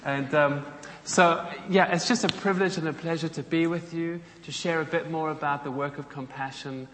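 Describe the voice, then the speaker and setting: A man's voice: a little high, steady tone, normal speed, fairly bright tone, slight background noise. South African man speaking in an echoey church. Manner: chatty, engages the audience with questions. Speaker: guest speaker from a charity. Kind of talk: church talk to a congregation. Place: church hall. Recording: echoey hall, faint ambience.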